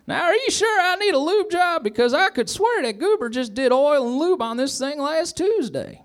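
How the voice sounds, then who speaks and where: A man voicing a jittery, squeaky small-town deputy who is pleading and flustered, in a live comedy parody. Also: lively intonation, normal speed. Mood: fretful. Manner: stammering, pleading.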